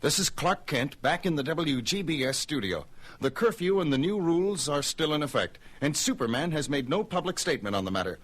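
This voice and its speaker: Guy doing a resolute superhero newsman, sharp husky voice, authoritative cartoon delivery. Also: fairly high pitch, animated, a little fast. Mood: professional. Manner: firm, declarative.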